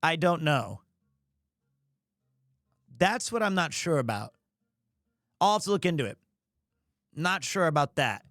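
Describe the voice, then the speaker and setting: A man's voice: a little high, very animated, unhurried, long pauses, fairly bright tone, no room sound, studio quality. A man hosting a livestream, talking casually and jokingly. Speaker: livestream host. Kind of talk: casual stream chat.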